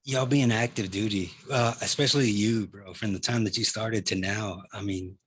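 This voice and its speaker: A man's voice: fairly low pitch, steady tone, normal speed, fairly bright tone, slightly rough. A man in his late thirties chatting as a podcast guest, slangy and informal, saying y'all, man and dude. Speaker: podcast guest, Army veteran. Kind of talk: casual chat.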